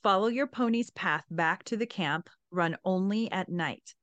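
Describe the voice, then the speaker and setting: A woman voicing a stern male warrior leader, reading formal instructions in a measured, commanding storybook manner. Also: medium pitch, fairly bright tone, dry recording, lo-fi sound, natural voice.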